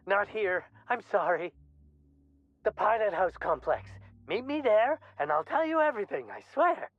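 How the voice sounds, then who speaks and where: An actress playing a jittery, folksy company mascot in a comic video game, flustered and theatrical, with a muffled sound. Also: female voice, mid-range voice, animated, normal speed, dry recording. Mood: frightened pleading.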